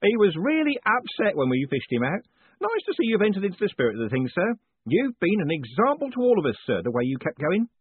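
A man's voice: sits high, huge pitch swings, brisk, husky, dry recording, voice pushed up, exaggerated voice. A man doing a chatty, cheerful prison warden. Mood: chummy. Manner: chummy, rambling and deferential. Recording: tinny, phone-like dry sound.

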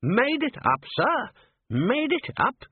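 A fussy little old herald with a high, fluttering voice that leaps all over the place. He is pedantic and twittering. The recording is husky and tinny, like a phone line. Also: huge pitch swings, slow, full character voice. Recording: tinny narrow-band, dry.

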